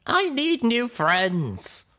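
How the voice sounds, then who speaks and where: A man's voice: extremely high pitch, huge pitch swings, very slow, bright, forceful tone, voice pushed up, heavily acted. A man voicing an angry, weary young female pony, stubborn and defiant, with a blunt protesting manner in a storybook reading. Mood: indignant. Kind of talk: audiobook character voice in storybook reading.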